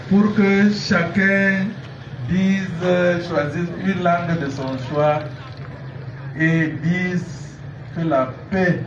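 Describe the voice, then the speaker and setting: A man giving a formal public address as a civic education speaker, with deliberate, hesitant phrasing. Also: a little high. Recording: echoey, noisy, muffled, far from mic.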